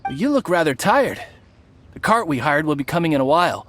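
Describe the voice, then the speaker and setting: A sly male bandit passing as a merchant. His pitch swings in an animated, ingratiating way, a video game character with faint noise behind. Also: fairly quick. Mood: friendly pitch. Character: smooth-talking male bandit posing as friendly merchant.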